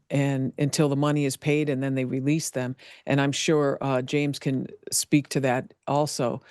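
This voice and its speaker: A man speaking as an interview guest, explaining in a halting way with many restarts. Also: mid-range voice, darkish tone, smooth, no room sound, studio quality. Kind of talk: interview commentary.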